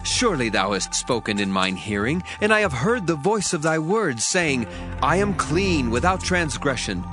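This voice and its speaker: A man's voice: fairly high pitch, sing-song, normal speed. A man voicing Elihu, an indignant young man eager to speak, in an earnest dramatized scripture reading with rising emphasis. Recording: dry voice, faint music underneath.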